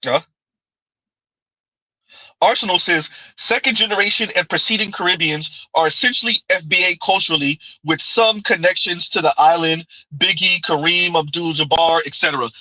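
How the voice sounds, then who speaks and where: Bright-voiced man riffing on a live stream. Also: high voice, moderate pace, dry recording, poor audio. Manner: conversational, repeats "right?", laughs often. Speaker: streamer reading viewer comments. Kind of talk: casual live-stream commentary.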